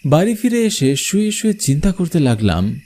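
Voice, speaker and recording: A man playing a travelling bioscope showman, narrating an eerie cartoon story in the first person with expressive delivery. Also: a little high, normal speed. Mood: storytelling. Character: travelling village bioscope showman telling his own eerie tale.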